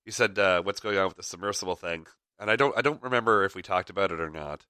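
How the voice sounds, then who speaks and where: Deep, bright-toned man, slightly raspy. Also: fast, dry recording, clean studio sound. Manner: rambling, frequent fillers, chuckles. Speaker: podcast host. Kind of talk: casual rambling chat.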